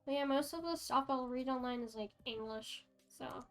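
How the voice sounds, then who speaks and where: A woman's voice: high-pitched, moderate pace, fairly bright tone, soft background music. A young French Canadian woman with a French Canadian accent, chatting casually on a livestream, rambling with lots of fillers and restarts. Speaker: streamer.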